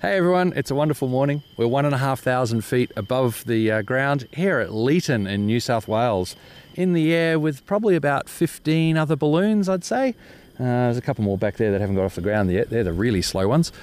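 A man's voice: mid-range voice, a little fast, a little mellow. A man with an Australian accent giving a jokey, upbeat, casual report as a balloon pilot. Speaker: balloon pilot and podcast correspondent. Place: open balloon basket in flight, outdoors.

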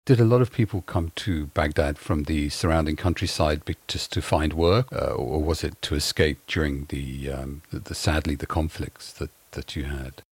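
Very low, husky man as interviewer, polite and halting. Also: dry recording. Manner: polite, halting questions with restarts. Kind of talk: casual interview questions.

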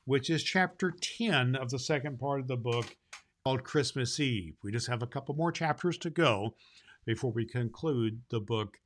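A man hosting and reading a Christmas storybook aloud to children in an expressive way. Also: medium pitch, normal speed, slight background noise. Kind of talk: storybook read aloud with informal host talk.

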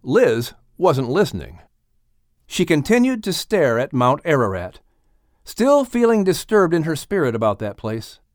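A man's voice: fairly high pitch, big pitch swings, measured pace, no room sound, studio quality. A man reading scripted storybook narration expressively, as an audiobook narrator and announcer.

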